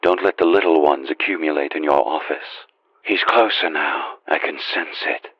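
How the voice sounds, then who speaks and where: An actor playing a grave, urgent guide in a video game, husky and heard through a radio filter. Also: male voice, a bass voice, moderate pace, no echo, muffled recording. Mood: grave, watchful. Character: grave, urgent male guide helping the player.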